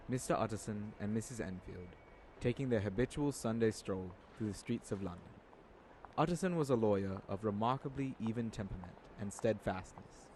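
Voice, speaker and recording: A man's voice: on the low side, a little mellow, slight background noise, poor audio. A man giving scripted narration for a literary radio drama.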